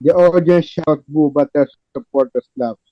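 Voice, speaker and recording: A man's voice: a little high, normal speed. A man reading stage directions as scripted narration in a play table read, on a lo-fi recording.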